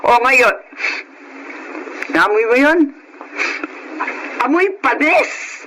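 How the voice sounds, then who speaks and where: Shrill, nagging Filipino mother-in-law. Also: lively intonation, very slow, sharp tone, exaggerated voice, rough recording. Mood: scolding. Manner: scolding, sarcastic, emphatic. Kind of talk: radio drama acting. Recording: faint background noise.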